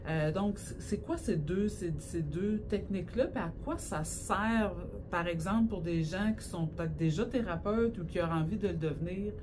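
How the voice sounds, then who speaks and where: A woman's voice: fairly low pitch, moderate pace, few pauses, noisy background. A woman, hosting an interview and asking casual, enthusiastic questions. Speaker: host. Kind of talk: interview host.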